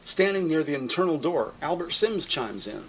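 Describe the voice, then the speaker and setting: A man narrates a scripted audio drama in the present tense. His voice sits a little high for a man. There is noticeable background noise around it. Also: big pitch swings, moderate pace, rough recording.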